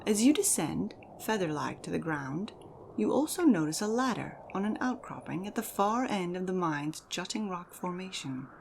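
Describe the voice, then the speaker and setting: A woman giving a measured, theatrical reading as narrator of a fantasy audio drama. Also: on the low side, big pitch swings, vocal fry, slight background noise. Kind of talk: dramatic second-person fantasy story narration.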